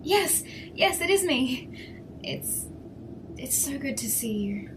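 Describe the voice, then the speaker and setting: An actress playing a gentle, emotional, longing woman in an audio drama. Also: high-pitched, very animated, slow, full character voice. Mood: tender, moved. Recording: noisy ambience behind the voice.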